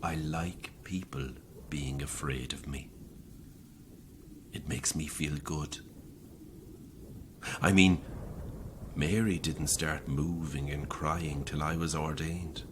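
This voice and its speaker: Murderous Irish priest, horror audio drama: low voice, unhurried delivery, smug and sardonic, coldly confessional. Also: male voice, fairly even intonation, noisy background. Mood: smug, menacing. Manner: deliberate, sardonic, conversational confession.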